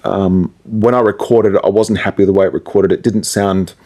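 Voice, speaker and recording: A man's voice: sits low, fairly even intonation, fairly quick, dark tone. A man chatting casually as a guest about his creative work, in a colloquial, self-deprecating way. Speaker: musician, DJ and radio presenter guest. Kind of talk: casual chat about making music.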